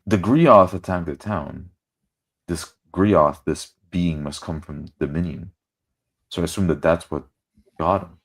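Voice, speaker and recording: Male roleplay player, extremely deep voice, halting starts, narrates his own actions. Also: slightly rough. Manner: halting, repeats words.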